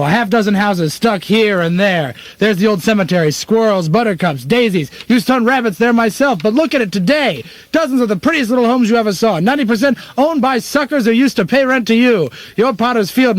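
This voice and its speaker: Man as a high-voiced, fussy little rent collector. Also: animated, fairly quick, few pauses, no room sound. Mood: needling. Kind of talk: comedic radio play acting.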